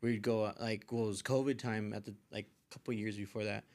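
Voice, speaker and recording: A man's voice: lowish voice, little pitch movement, fairly quick, darkish tone. Casual, meandering man telling his story. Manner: informal, slangy, meandering. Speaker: podcast guest.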